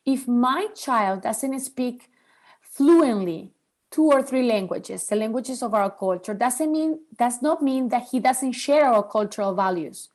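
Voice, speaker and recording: A woman in her forties from Venezuela whose first language is Spanish, speaking as a guest about raising bilingual children in an earnest, animated way. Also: on the high side. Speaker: podcast guest, bilingual parenting expert. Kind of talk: interview conversation.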